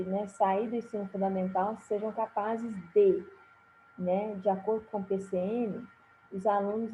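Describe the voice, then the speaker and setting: A woman from Brazil gives a recorded lesson in a halting, unhurried way, pausing on fillers and restarting her phrases. Her voice sits in a middle range and comes through a thin, phone-like channel with a faint hiss underneath. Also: medium pitch. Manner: slow, halting delivery with many fillers and restarts. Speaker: teacher.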